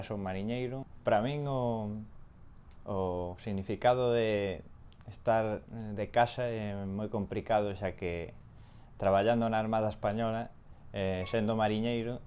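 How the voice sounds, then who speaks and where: A man in his twenties from Galicia with a Galician accent, introducing himself haltingly with many fillers. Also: on the low side, steady tone, a little mellow, smooth. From Galicia, Spain. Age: 20s. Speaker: sailor. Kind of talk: filmed self-introduction.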